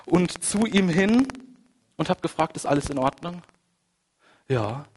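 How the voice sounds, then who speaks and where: A man with a cold preaching a sermon, conversational and full of asides, moving between quiet, reflective passages and emphatic, rising ones. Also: fairly high pitch, expressive, a little slow, husky. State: has a cold. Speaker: pastor. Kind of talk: sermon with personal anecdote.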